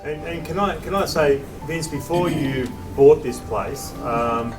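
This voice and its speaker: A man's voice: on the high side, a little slow. Distant Australian man over music. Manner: earnest, rambling. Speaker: audience member. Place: restaurant function room with music playing.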